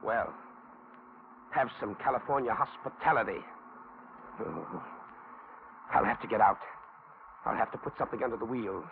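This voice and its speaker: A menacing, worn-out male fugitive in a 1940s radio thriller. He is curt, bitter and threatening. The old recording is thin, narrow and clearly noisy. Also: lowish voice, normal speed, pauses often. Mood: curt menace. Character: menacing, weary male fugitive criminal holding a woman hostage. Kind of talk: 1940s radio suspense acting.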